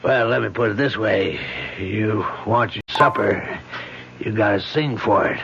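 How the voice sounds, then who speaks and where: Radio-play heavy: a gravelly, leering creep, hissy, dull old recording. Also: male voice, on the low side, a little slow, fairly bright tone. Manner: leering, threatening. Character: menacing male driver, a predatory man. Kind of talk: 1970s radio drama acting.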